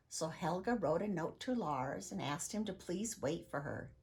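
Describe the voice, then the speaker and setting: A woman reading a children's story aloud in an expressive narrating style. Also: fairly low pitch, breathy edge. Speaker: storybook reader.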